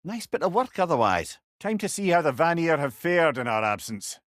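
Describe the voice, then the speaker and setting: An actor voicing a clever, chatty old companion in a video game, with a Scottish accent and a wry, eloquent way of talking. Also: male voice, on the high side, big pitch swings, normal speed, a bit bright, no room sound, clean studio sound. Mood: thoughtful, explaining. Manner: wordy, eloquent, wry storyteller. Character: clever, chatty, sardonic old male severed head companion. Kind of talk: video game character dialogue.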